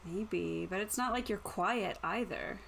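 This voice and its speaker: Female tabletop player, casual chat, gravelly vocal fry, slightly noisy stream audio. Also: medium pitch, measured pace, a bit bright.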